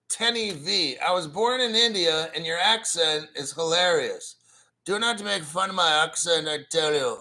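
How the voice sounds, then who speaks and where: A man hosts a casual crypto livestream in a sharp, bright voice that sits a little high. He rants bluntly and with plenty of profanity, mocks rival channels, and keeps clearing his throat as he reads out viewer comments. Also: rough recording. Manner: reads viewer chat donations aloud.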